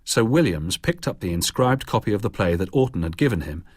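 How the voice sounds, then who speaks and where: Guy narrating a bio documentary, kinda husky lowish voice, dry close sound, steady measured read. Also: no room sound. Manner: measured, even reading.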